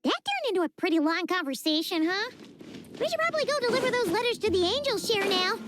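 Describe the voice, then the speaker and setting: A woman voicing a tiny, chirpy, excitable female companion in a video game, with a squeaky childlike voice who talks about herself by name. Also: fast, noisy background. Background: game music.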